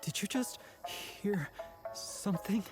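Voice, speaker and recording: An actress playing a timid, nervous, hesitant treasure-hunting sidekick in a game trailer. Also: female voice, mid-range voice, expressive, slow pace. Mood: uneasy. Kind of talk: game trailer dialogue acting. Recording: music over game dialogue.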